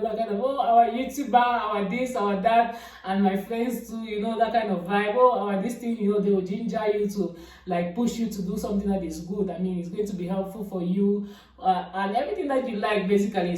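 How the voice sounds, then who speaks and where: A young woman chatting casually about herself for her vlog, with a big voice and lots of fillers and restarts. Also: dark tone. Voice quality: big, deep-sounding voice. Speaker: YouTuber. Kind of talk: casual get-to-know-me vlog. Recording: echoey room, voice from a distance.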